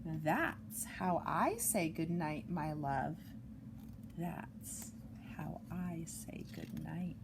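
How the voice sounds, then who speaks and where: A woman reads a picture book aloud to young children in an expressive, sing-song way, adding chatty asides, on an amateur home recording. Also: sits low, extremely slow, vocal fry, noisy background. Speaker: storytime reader for young children.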